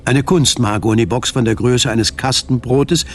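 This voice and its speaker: A male narrator reads scripted prose for a radio drama. His delivery is measured and literary at a middle pitch, with faint noise in the background. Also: steady tone.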